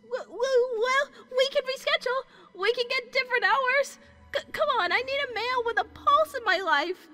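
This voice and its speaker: Woman playing clingy bookish cartoon girl, high swooping pitch, whiny, melodramatic, music behind. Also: moderate pace, pushed and bright. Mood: pleading. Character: clingy, dramatic bookish young girl obsessed with romance. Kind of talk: cartoon parody voice acting.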